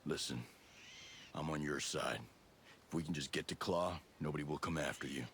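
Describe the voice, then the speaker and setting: Special forces soldier, rock-bottom pitch, gruff, resolute, matter-of-fact, video game cutscene, slight background noise. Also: male voice, fairly even intonation, normal speed, a bit bright. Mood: steady, probing. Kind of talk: cinematic game acting.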